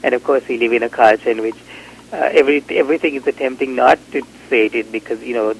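A man's voice: mid-range voice, fast, vocal fry, slight background noise, rough recording. A man from Sri Lanka, a novelist, answering interview questions in a reflective, hesitant way with many fillers and restarts.

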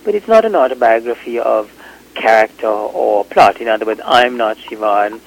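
A man's voice: mid-range voice, moderate pace, vocal fry, low-quality recording. A man from Sri Lanka, a novelist, answering interview questions in a reflective, hesitant way with many fillers and restarts.

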